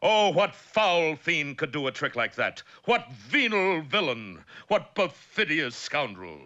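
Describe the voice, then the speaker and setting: Bright, mock-dramatic cartoon narrator. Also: male voice, on the high side, very animated, slow pace, highs cut off, heavily acted. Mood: urgent, dramatic. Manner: urgent, mock-dramatic, florid. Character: breathless mock-serious cartoon serial narrator. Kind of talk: melodramatic classic cartoon narration. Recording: old cartoon soundtrack with faint hiss.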